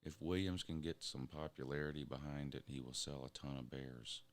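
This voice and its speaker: A hoarse, raspy man ranting bluntly as a show host. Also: very deep voice, steady tone, normal speed. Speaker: show host reading chat. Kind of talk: casual sports rant.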